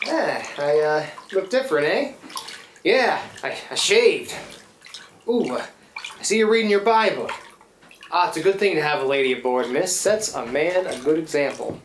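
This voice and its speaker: In a roomy live recording with faint noise, a woman plays a scruffy, hard-drinking riverboat man for a radio play. He talks in a folksy, rambling way, wheedling and put-upon, swinging widely in pitch. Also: animated, normal speed. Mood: sheepish, coaxing. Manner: folksy, rambling, theatrical working-class speech. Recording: roomy live stage, watery foley noise.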